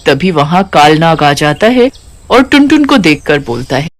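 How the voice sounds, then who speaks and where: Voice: mid-range voice, sing-song, normal speed. A narrator reading a scripted cartoon story.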